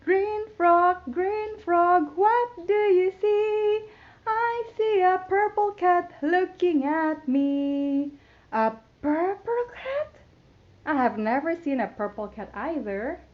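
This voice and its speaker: A woman hosting a children's storytime, reading a picture book in a playful, expressive sing-song way for very young children. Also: very high-pitched, takes it slowly, highs cut off. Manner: imitates animal sounds.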